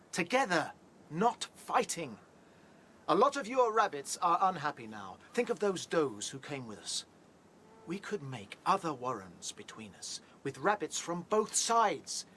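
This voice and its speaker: An actor playing a brave, reasonable rabbit leader in a radio drama, earnest and decisive. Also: male voice, a little high, bright, forceful tone, slightly rough, full character voice. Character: brave, reasonable young male rabbit chief. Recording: faint ambient sound behind voice.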